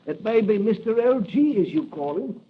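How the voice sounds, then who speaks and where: An actor playing a deferential, obedient butler who serves a villain in an old-time radio drama. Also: male voice, high voice, lively intonation, normal speed, slight background noise. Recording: tinny lo-fi vintage radio recording.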